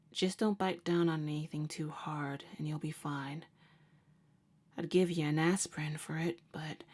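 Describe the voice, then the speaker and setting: Low-pitched female voice, jaded vampire hunter acted by a woman, slow pace, sardonic, slangy, ASMR audio drama. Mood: hushed, resigned. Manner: wry, casual, slangy, unhurried.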